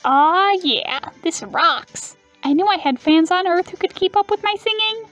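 A woman's voice: extremely high pitch, lively intonation, a little slow, a bit bright, soft background music, voice pushed up, heavily acted. A woman voicing a brash, vain young demon girl who is a showy metal idol in a game. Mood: exhilarated. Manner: showy, boastful.

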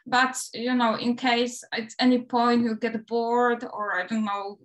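A woman's voice: a little high, flat delivery, a little slow, fairly bright tone, rough recording. Polish-accented woman lecturing on video call. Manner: hesitant, laughs lightly while talking. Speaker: guest lecturer.

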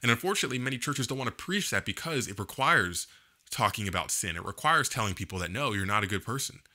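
A young man with a low, very bright and forceful voice gives an earnest solo talk to camera. He fills his sentences with "you know" and gestures as he makes his point. He speaks close to a studio mic in a small home setup. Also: lively intonation, fast talker. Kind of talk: earnest opinion monologue to camera. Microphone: boom-mounted studio mic in front.

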